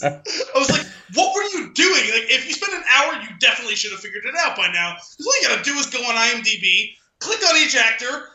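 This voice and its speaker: Guy w a high really sharp voice, echoey room, rambling podcast storyteller, kinda sardonic, does both sides of convos. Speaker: podcast co-host. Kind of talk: casual rambling anecdote, acting out dialogue. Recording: roomy, echoey sound.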